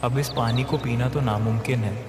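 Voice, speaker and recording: A man's voice: medium pitch, steady tone, moderate pace, close to an everyday voice. A man voicing a distressed, respectful village man in a cartoon, earnest and pleading. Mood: troubled. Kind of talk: Hindi moral story cartoon dub. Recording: echoey reverb with clear background music.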